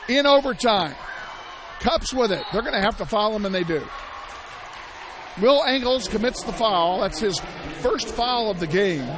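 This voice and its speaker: A man calling a high school basketball game play-by-play, hoarse and losing his voice, shouting the big plays and chatting between them. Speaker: play-by-play announcer. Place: high school gym broadcast. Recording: loud gym crowd and noise behind.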